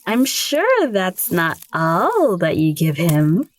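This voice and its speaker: A woman's voice: fairly low pitch, huge pitch swings, slow, heavily acted. An actress playing a blunt, teasing young village woman in an audio drama.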